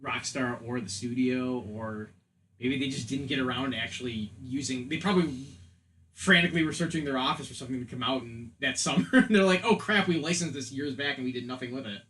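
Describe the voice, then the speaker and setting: A man's voice: medium pitch, fairly quick, pushed and bright, a little hiss or hum, rough recording. A man in his thirties co-hosting a podcast, chatting casually about films in a rambling, jokey, opinionated way. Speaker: podcast co-host. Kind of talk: casual opinionated film chat.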